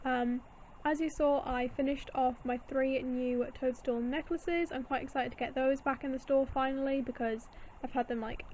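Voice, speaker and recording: A young woman chats casually, rambling and poking fun at herself. Her voice is high but slightly hoarse and tired. The sound is dull and lo-fi, with a faint hiss behind it. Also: darkish tone. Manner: chatty, self-deprecating, rambling with fillers. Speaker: small art shop owner. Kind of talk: casual studio vlog chat.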